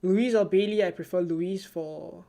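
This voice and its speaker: A streamer from Singapore, answering viewer questions in a live stream with a soft, low-key, almost ASMR-like delivery. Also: unhurried, creaky. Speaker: fantasy football streamer.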